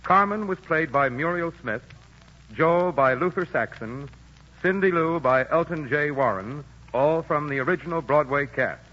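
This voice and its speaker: Formal, bright 1940s radio announcer. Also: male voice, on the high side, expressive. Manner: formal, measured. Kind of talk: reading credits and sponsor message. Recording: dull lo-fi 1940s radio broadcast with music.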